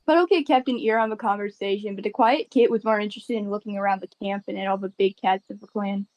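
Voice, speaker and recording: A woman's voice: mid-range voice, steady tone, fast, a little mellow, low-quality recording. A woman narrating her character's part in an animal roleplay in the third person.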